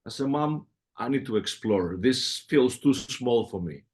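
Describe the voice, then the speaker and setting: A middle-aged man with a heavy Greek accent, telling his life story in a relaxed interview, full of fillers and restarts. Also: medium pitch, unhurried. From Greece. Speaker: music producer guest. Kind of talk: casual interview conversation.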